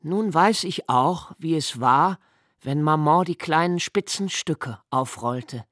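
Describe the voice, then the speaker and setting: An actor playing a young nobleman who narrates a literary radio play in a slow, hushed, reflective way. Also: a little high, no echo. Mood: musing. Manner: slow, hushed and reflective, dropping to near whispers. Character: young nobleman Malte, brooding poetic narrator.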